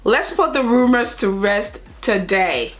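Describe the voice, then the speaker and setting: Woman, young adult, Liberian accent, medium pitch, casual vlog chat, direct address to audience. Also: animated, takes it slowly, fairly bright tone, soft background music. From Liberia, raised partly in Ivory Coast. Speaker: vlogger answering viewer questions.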